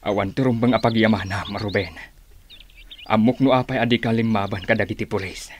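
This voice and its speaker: Earnest, protective elder played by a man, Filipino accent, rather low and solemn, radio drama, slight background noise. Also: steady tone, fairly quick, natural voice. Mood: concerned. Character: earnest protective older man, loyal helper of a fugitive.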